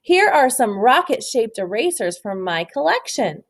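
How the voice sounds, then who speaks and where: A woman's voice: fairly high pitch, big pitch swings, unhurried, heavily acted. A woman voicing a helpful boy who lists his things in a matter-of-fact way in a children's storybook. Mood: helpful, listing.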